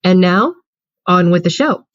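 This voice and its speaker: A woman's voice: on the low side, expressive, unhurried, muffled recording, noisy background. A woman giving a wry, scripted introduction to an amateur radio play over a video call. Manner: dry, wry. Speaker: narrator and stage manager.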